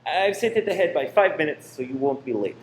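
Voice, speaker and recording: A man's voice: on the high side, lively intonation, brisk, close to an everyday voice. A man playing a tense, secretive married cinema owner, insistent and controlling, in a radio play. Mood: urgent coaxing. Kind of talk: amateur radio play acting. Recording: roomy echo with faint noise.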